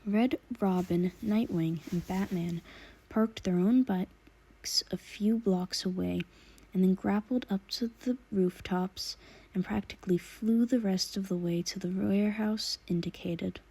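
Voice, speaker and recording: Mellow-voiced woman narrating a story. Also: mid-range voice, steady tone, measured pace, creaky, husky. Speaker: audiobook narrator. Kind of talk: scripted narration of fan fiction.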